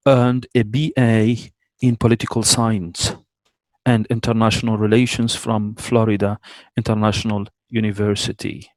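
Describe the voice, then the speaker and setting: A man hosting an online talk over a video call, reading a formal guest introduction in a measured, careful way. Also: fairly low pitch, dry recording. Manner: measured, careful with names, small self-corrections. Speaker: host introducing a guest speaker.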